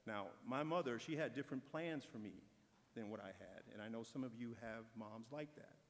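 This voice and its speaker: A man's voice: mid-range voice, a little hiss or hum. A man giving a prepared, encouraging speech as a corporate speaker at an awards ceremony. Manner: measured, encouraging delivery. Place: large event hall. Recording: long hall reverb.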